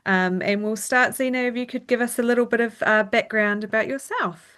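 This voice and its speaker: Gentle New woman interviewer. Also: fairly high pitch, steady tone, a little fast. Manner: gentle, hesitant questions. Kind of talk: conversational interview.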